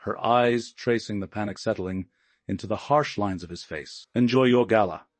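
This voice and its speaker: Low-voiced man narrating dramatic fiction. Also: moderate pace, dry recording. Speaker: audiobook narrator.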